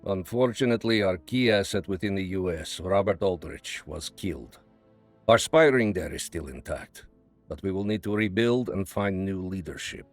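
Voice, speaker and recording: An actor playing a cold, calculating Soviet spymaster with a measured, menacing delivery in a video game cutscene. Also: male voice, low-pitched, lively intonation, faint music behind. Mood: cold, businesslike. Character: cold, calculating Soviet spymaster, a man who plots patiently.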